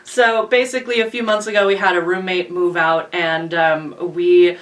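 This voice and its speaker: Woman with a lowish voice, slightly off-mic. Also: steady tone, normal speed. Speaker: co-host of an online channel. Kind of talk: casual vlog chat. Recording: slightly distant from the microphone.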